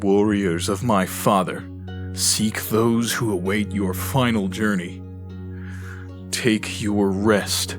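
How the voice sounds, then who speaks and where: A man playing a solemn, noble warrior leader in a fantasy audio drama, speaking in a grave, deliberate, formal way. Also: on the low side. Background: background music.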